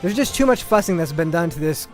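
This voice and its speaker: High-voiced sarcastic male game reviewer. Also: lively intonation, fast. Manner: sarcastic, exaggerated complaining. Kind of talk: scripted review narration. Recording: music behind the voice. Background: background music.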